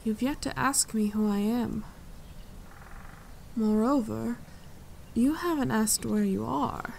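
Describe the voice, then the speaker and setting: A woman playing a regal, dryly amused and protective fae leader in an intimate ASMR fantasy roleplay, speaking in a measured, composed, gently condescending way. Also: fairly high pitch, creaky, a little hiss or hum. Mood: composed, wry. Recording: forest ambience with birdsong. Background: birdsong and forest sounds.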